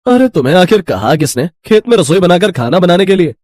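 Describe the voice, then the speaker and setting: A man's voice: sits high, big pitch swings, fairly quick, darkish tone, no room sound, studio quality. Man playing a grumbling, swooping-voiced village householder. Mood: annoyed. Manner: demanding, complaining.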